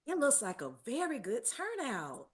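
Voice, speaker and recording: A woman's voice: high-pitched, wildly swooping pitch, normal speed, crowd noise. A woman playing a positive small-town aunt who organizes a Christmas show, in a script table read. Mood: encouraging.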